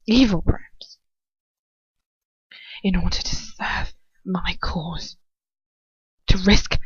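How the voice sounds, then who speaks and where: A smug, cold aristocratic wizard lectures in a condescending tone, as a woman reads his lines. His words come slow and pointed, with a dry, muffled sound. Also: mid-range voice, husky, no echo. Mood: hushed.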